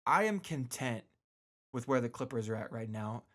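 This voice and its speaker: A man's voice: mid-range voice, lively intonation, normal speed, fairly bright tone. Casual, emphatic man hosting talk radio. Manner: emphatic repetition for stress; informal slangy speech with "man" and "dude". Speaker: radio show host.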